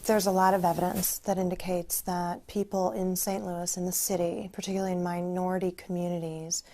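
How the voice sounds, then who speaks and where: An American woman answers interview questions as an academic researcher. Her pitch is a little low for a woman, and she explains in a halting way, with frequent ums. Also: little pitch movement, dark tone. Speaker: sociologist, researcher being interviewed.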